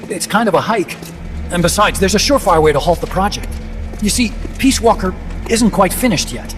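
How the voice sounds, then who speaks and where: A fairly high male voice over loud music a disillusioned scientist in a video game. He is earnest and talkative, laying out his explanations with serious conviction. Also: animated, brisk. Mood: confiding, explanatory. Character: earnest, disillusioned male nuclear weapons scientist, unable to walk.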